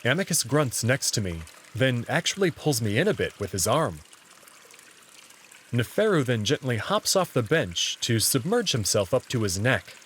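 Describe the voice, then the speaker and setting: A man reads first-person story narration for a visual novel. His mid-pitched voice moves expressively, and there is a faint sound of running water behind it. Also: big pitch swings, normal speed, a bit bright, a little hiss or hum.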